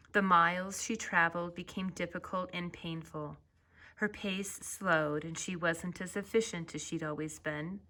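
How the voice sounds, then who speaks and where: A woman narrating a children's story as its author, reading expressively and deliberately. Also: lowish voice. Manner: expressive, deliberate, drawn-out phrase endings.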